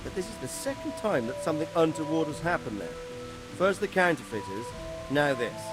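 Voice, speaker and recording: A man's voice: on the high side, very animated, a little fast, over music, rough recording. An actor playing a middle-aged official in a game, formal and considered as he advises a younger man. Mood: grave, considered. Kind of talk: video game cutscene acting.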